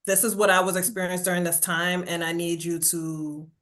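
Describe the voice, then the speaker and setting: A woman's voice: on the low side, fairly quick. A woman from Jamaica with a New York accent, a guest on a podcast, talking casually and animatedly, acting out quotes and tagging lines with "right?". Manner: animated storyteller, says "right?" constantly, acts out quotes. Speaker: podcast guest, burnout coach. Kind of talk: casual conversational interview.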